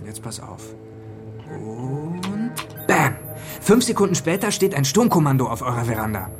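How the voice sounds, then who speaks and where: Lively, wry young techie guy. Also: mid-range voice, moderate pace, over music. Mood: wry, showing off. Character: grumbling young male techie friend, wry and excitable. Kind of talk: naturalistic German radio play acting.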